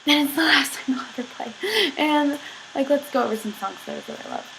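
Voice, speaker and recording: A young woman rambling in a casual vlog, filling every pause with "like". She is sick, and her voice sounds a little husky. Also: sits high, expressive, fairly bright tone, slight background noise, poor audio. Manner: chatty, filler-heavy, giggly, says "like" constantly. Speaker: vlogger.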